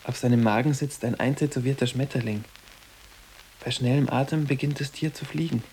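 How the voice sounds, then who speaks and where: A man's voice: medium pitch, fairly even intonation, fairly quick, darkish tone, a little hiss or hum, natural voice, low-quality recording. An actor playing a sarcastic, blasé teenage boarding-school boy in a radio drama, talking in a dry, offhand way. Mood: blasé sarcasm. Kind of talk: literary German radio drama dialogue.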